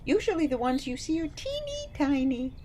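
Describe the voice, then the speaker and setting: A woman's voice: high-pitched, big pitch swings, moderate pace, darkish tone, slight background noise. A woman playing a sweet, doting grandmother in a radio play, gushing and cutesy in manner.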